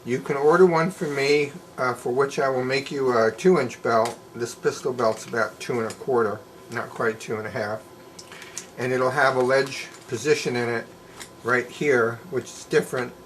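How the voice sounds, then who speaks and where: A middle-aged man talks slowly through his handiwork at a bench, a little hoarse. His voice sits at an ordinary middle pitch and comes through a camera mic. A light background noise hangs behind him. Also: fairly bright tone. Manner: plain, folksy, unhurried explaining with fillers. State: slightly hoarse, losing his voice. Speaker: leatherworker showing his work. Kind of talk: casual show-and-tell demonstration. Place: indoors at a workbench.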